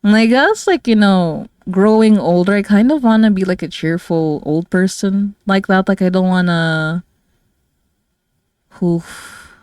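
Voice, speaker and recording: A woman's voice: mid-range voice, unhurried, a little mellow, clean tone, no room sound, studio quality. A woman from the Philippines chatting casually on a livestream, rambling and giggling with lots of fillers. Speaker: streamer and artist.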